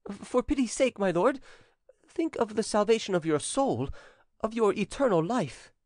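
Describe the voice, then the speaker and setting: Man doing high-pitched, fearful manservant. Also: sing-song, normal speed, a little mellow, no room sound, clean studio sound. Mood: pleading, anxious. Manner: stammering, obsequious, pleading. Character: timid, fearful servant pleading with his master. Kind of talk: audiobook character acting.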